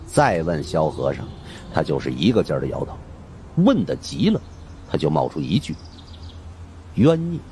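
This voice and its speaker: A man narrating a supernatural mystery audiobook as an animated storyteller. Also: rumbling low, moderate pace, slight background noise.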